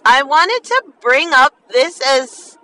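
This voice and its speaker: A woman hosting a podcast in a casual, expressive monologue, with a slight lisp and slurred enunciation from a half-numb mouth. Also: high voice, slow. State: half the mouth numb after dental work. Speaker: podcast host. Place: inside a car while driving. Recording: distant mic, faint vehicle noise. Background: faint car driving noise.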